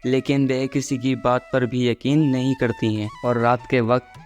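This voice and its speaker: A man's voice: medium pitch, flat delivery, normal speed, a little mellow. A man narrating a scripted moral story. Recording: music behind the voice.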